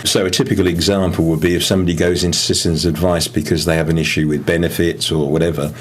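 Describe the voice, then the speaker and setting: Male, British, husky and low. Methodical, factual interviewee explaining a procedure. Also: little pitch movement, fast. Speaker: food bank volunteer, interviewee.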